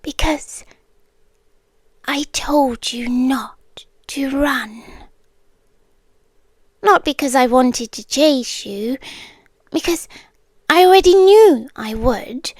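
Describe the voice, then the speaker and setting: Actress as a yandere queen for ASMR drama: British, female, courtly and possessive, soft menace, breathy murmurs sliding into whispers, extremely unhurried, very dry close sound. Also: high-pitched, dry recording, exaggerated voice. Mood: possessive, quietly menacing. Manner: slow, deliberate, intimate. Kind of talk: ASMR roleplay. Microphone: close, dry microphone.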